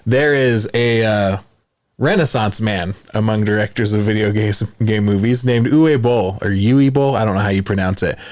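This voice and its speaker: A man's voice: lowish voice, normal speed, darkish tone, dry recording. A man chatting informally as a podcast co-host, with a wry way of talking. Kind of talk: casual chat.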